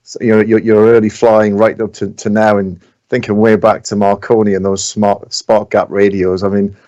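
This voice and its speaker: A man's voice: lowish voice, level tone, normal speed, darkish tone, highs cut off. A man hosting a podcast, putting questions to guests in a conversational way. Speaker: podcast host. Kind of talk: conversational interviewing.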